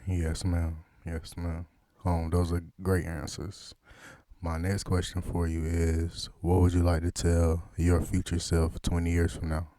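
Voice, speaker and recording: A man's voice: sits low, monotone, unhurried, dark tone, no room sound, clean studio sound. A man hosting a casual interview, talking in slangy, joking banter. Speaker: interviewer and host.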